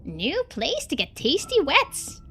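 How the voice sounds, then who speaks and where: A woman's voice: sits high, wildly swooping pitch, slow pace, bright, forceful tone, soft background music, voice pushed up, heavily acted. A woman voicing a small talking rodent in a video game, speaking in broken, childlike third-person grammar, proud of simple treats. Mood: earnest, musing.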